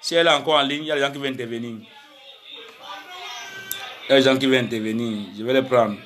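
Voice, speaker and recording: A man's voice: mid-range voice, fairly even intonation, fast, a bit bright, slight background noise. Angry Cameroonian man ranting on a live stream. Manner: angry and emphatic, then fumbling and muttering. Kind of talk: heated live-stream rant to viewers.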